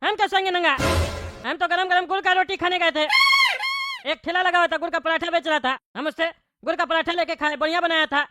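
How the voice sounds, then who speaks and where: A cartoon bald male politician, extremely high and quick. He blusters, complains and threatens like an indignant buffoon, with music playing behind him. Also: steady tone. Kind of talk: Hindi comedy cartoon dialogue.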